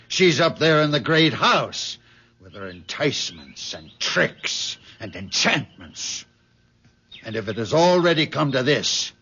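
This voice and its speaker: A man's voice: mid-range voice, gravelly, fairly bright tone, husky, exaggerated voice. An actor playing a stern, controlling old settler father with archaic, accusing speech in an old-time radio drama. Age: older. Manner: archaic, theatrical, denouncing speech. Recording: dull vintage radio recording.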